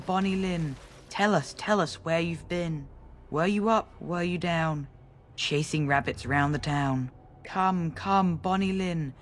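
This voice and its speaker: Actor voicing an eerie, slow-talking village boy. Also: medium pitch, a little hiss or hum, lightly acted. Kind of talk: dramatic video game acting.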